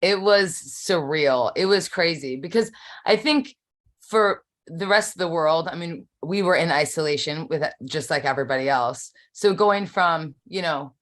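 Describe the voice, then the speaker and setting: A woman's voice: low voice, a little slow. A woman, a band's singer, chatting as an interview guest, laughing often. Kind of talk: casual interview chat. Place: Los Angeles.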